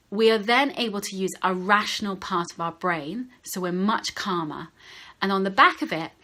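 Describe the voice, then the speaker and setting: A woman with a high-pitched voice giving advice straight to the camera as a speaking coach, talking slowly and stressing key words. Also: fairly bright tone. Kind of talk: direct-to-camera advice talk.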